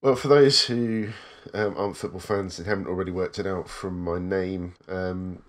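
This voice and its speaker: A man co-hosting a casual podcast, telling his story in a thoughtful, measured way. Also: low-pitched, fairly even intonation. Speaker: podcast co-host. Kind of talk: casual chat.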